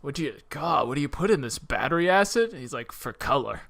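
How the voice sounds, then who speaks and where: A man's voice: on the high side, expressive, brisk, creaky, a bit bright. A man co-hosting a film podcast, talking casually and animatedly, often with a giggle in his speech.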